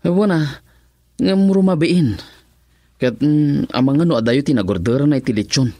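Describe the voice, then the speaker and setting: An actor playing a reluctant, grumbling young adult son in a radio drama, with a Filipino accent. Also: male voice, on the high side, moderate pace, mellow, dry recording, studio quality.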